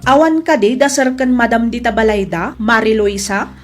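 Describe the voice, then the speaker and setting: A woman's voice: on the high side, moderate pace, loud music behind the voice, natural voice. A Filipino-accented woman playing a sensible, scolding friend of a housemaid in a comedy drama. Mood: disapproving, incredulous. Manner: scolding, incredulous. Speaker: actor.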